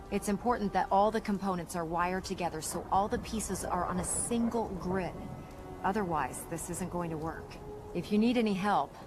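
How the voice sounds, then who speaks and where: A woman's voice: on the low side, lightly acted. A woman playing a blunt, wry, confident military engineer in a video game cutscene. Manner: blunt, sardonic, businesslike. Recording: game audio under background music.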